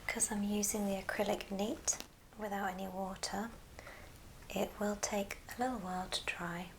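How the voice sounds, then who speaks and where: A woman speaks in a soft whisper over clearly audible background noise and small clicks. She talks through a hands-on craft in an ASMR style, at a middling pitch for a woman. Her short phrases come unhurriedly, with pauses between them. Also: fairly even intonation. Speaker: ASMR craft video host.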